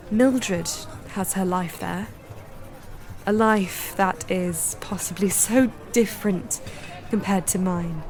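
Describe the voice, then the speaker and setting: A woman's voice: medium pitch, a little mellow. An actress playing a sheltered, determined young lady in a radio drama, with formal, wistful period diction. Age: young adult. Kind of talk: radio drama acting with diary narration.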